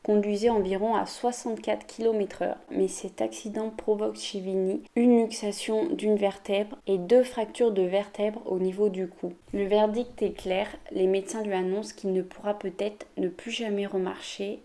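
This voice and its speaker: Warm-voiced woman telling a story. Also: medium pitch, steady tone, moderate pace, hardly any pauses. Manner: chatty, addresses viewers directly. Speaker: YouTuber. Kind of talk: storytelling vlog.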